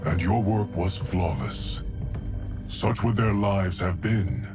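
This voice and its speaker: Deep, slow, impassive keeper of time. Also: male voice, lively intonation. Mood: detached, certain. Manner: slow, formal, detached. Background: loud cinematic music.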